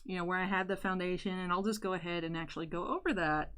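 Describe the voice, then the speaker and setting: A young woman with a deeper voice, chatting candidly while doing her makeup for a vlog. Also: fast, a bit bright. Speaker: YouTuber. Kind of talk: casual makeup tutorial chat.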